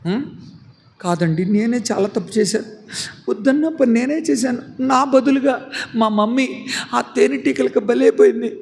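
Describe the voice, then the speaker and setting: A man's voice: huge pitch swings, quick pace, hardly any pauses, fairly bright tone, exaggerated voice. A man voicing a frightened little girl who wails and pleads for her mother, crying that she did wrong. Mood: pleading, frightened. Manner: high, pleading, sobbing wails.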